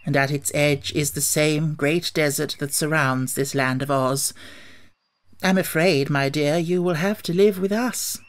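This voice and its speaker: A man's voice: on the high side, fairly even intonation, a little slow, a bit bright. Man voicing a kindly, solemn little old witch. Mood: matter-of-fact, kindly. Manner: solemn, reassuring. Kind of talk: audiobook character voice.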